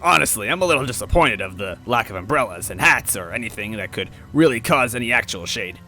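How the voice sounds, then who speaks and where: A man voicing a gruff, dopey, bearded stout man who is loud, excitable and childishly earnest about partying. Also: mid-range voice, fast talker, soft background music. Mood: chatty, enthusiastic.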